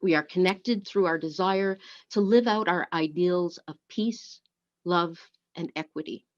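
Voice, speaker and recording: A woman leading a church service, speaking and reading in a measured, deliberate way. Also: lowish voice. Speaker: worship service leader. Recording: dull, lo-fi online audio.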